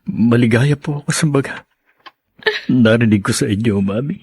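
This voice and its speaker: A devoted grown son acted by a man in an audio drama. He has a husky, mid-pitched voice in a close, dry recording and speaks with earnest respect. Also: moderate pace, a little mellow, no echo. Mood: respectful. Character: dutiful adult son devoted to his parents.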